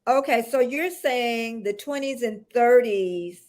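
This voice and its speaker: A woman from the United States hosting a casual live-stream chat, chatty and quick to laugh. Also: on the high side, darkish tone. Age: older. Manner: laughs often, chatty and encouraging. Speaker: host.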